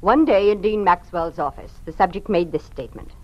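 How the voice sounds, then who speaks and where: A woman's voice: on the low side, fairly quick, no echo, close to an everyday voice. An actress playing a cold, doctrinaire woman informant in a 1950s radio drama. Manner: flat, clipped, dogmatic. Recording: dry, dull lo-fi old radio recording.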